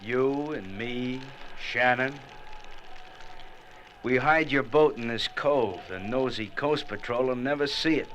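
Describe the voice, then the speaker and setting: A man's voice: medium pitch, slow, fairly bright tone. A man playing a gloating, menacing gangster killer in an old radio drama, taunting his captives with sardonic, florid slang. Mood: smug. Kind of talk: 1940s radio drama acting. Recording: old radio recording with faint noise.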